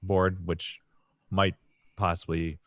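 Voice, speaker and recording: A man with a very low voice that has gone hoarse and half lost, talking in a loose, rambling way on a podcast. He restarts his sentences often. The sound is close and dry, with no room around it. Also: flat delivery, slow pace, fairly bright tone, no room sound. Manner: rambling storyteller with many restarts. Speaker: podcast co-host. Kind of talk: casual podcast chat.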